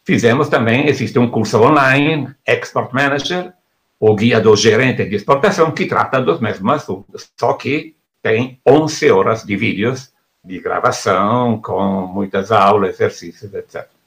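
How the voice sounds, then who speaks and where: Gravelly middle-aged man explaining at length. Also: fairly low pitch, a little slow, a bit bright. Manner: didactic, lists topics in bursts. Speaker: author and export consultant. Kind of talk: explaining his book in an interview.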